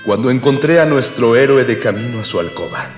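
A man's voice: mid-range voice, lively intonation, a little fast, natural voice. A man playing a solemn, florid storyteller, narrating a pulp space radio serial in a pompous, old-fashioned way. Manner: pompous, archaic, florid phrasing. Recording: echoey reverb with soft music.

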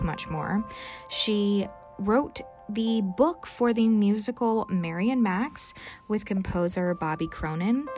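A woman's voice: medium pitch, darkish tone. A woman podcast host giving an enthusiastic, admiring introduction to a guest.

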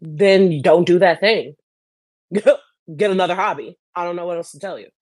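A woman's voice: fairly low pitch, normal speed, a little mellow, no room sound. A woman co-hosting a casual panel chat, speaking bluntly and opinionatedly with plenty of swearing. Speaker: podcast co-host.

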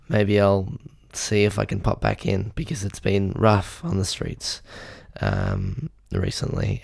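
A young man with a hoarse voice hosting a podcast, delivering a rambling, deadpan, self-pitying comedic monologue into a mic with a pop filter. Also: lowish voice, dry recording, studio quality. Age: young adult. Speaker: podcast host.

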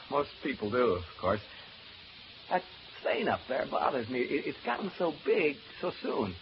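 An actor playing a nervous, rambling radio broadcaster alone in a haunted house, stammering through asides to listeners in an old radio horror play. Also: male voice, fairly high pitch. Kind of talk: old-time radio horror drama acting. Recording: muffled old radio recording, background hiss.

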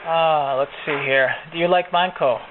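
A man calmly poses ridiculous survey questions in a straight, mock-official tone. His slightly high voice sounds narrow and phone-like, with a faint hiss underneath. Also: moderate pace. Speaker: prank caller. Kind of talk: prank phone call.

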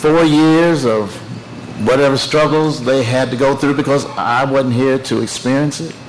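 An older man from Tennessee, giving an emotional, halting speech at the unveiling of his artwork. He often repeats phrases and pauses to collect himself. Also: medium pitch, slight background noise, poor audio. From Tennessee, United States. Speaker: artist unveiling his work.

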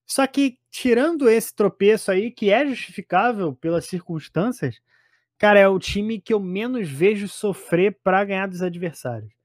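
A Brazilian man with a notably high-pitched voice talks football in a loose, slangy style. He is emphatic and repeats phrases to drive them home. The sound is dry, with very little room around it. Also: animated, normal speed, no room sound. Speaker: football podcast commentator. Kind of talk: casual football chat.

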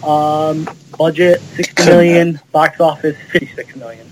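A man with a somewhat high voice chats on a podcast panel. He offers information casually and haltingly. The recording carries faint noise. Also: steady tone, rough recording.

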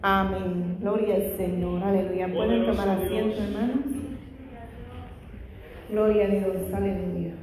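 A woman's voice: medium pitch, slight background noise. A woman preaching a Bible study in Spanish, her second language, sprinkling in frequent hallelujahs and praises, fervent in prayer. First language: not Spanish. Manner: frequent "aleluya" and "gloria a Dios" interjections; fervent prayer, louder and faster. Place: large echoey church hall. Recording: echoey hall with long reverb.

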